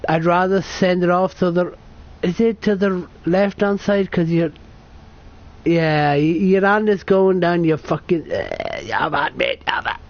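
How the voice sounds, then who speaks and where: A man's voice: sits high, big pitch swings, measured pace. A British man in his late thirties from the UK, telling a personal story to camera in a rambling, sweary, self-correcting way. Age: 30s. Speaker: vlogger. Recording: dull lo-fi sound.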